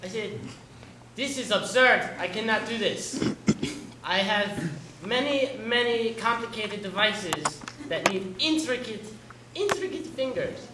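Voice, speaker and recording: A young man spins an improvised tale as a fed-up pilot, slow and indignant, breaking into laughter. His voice sits high and unhurried. The echoey room carries a steady hum of noise behind him. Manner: indignant, exasperated, laughing often. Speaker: improv player as a bomber pilot. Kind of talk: improvised comic storytelling.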